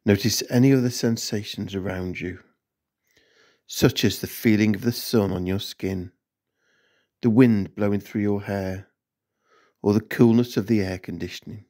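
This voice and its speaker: A man narrating a scripted guided meditation in measured, instructional short phrases. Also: lowish voice, steady tone, mellow.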